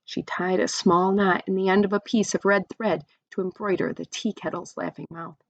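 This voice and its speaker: A woman's voice: medium pitch, steady tone, moderate pace, creaky, dry recording, highs cut off. A woman reading a children's story aloud expressively, with chatty asides to young listeners.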